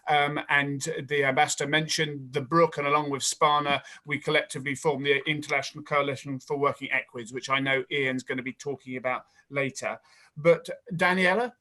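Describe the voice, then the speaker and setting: A man's voice: a little high, sharp tone. A man moderating an online panel, thanking speakers and introducing the next presenter politely, with a few restarts.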